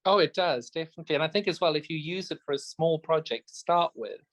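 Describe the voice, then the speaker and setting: An effusive man from Zimbabwe co-hosting a relaxed podcast chat, his voice sitting a little high for a man. He tells long stories with open enthusiasm. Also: fast. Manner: warm, effusive storyteller. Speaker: podcast co-host. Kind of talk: casual chat.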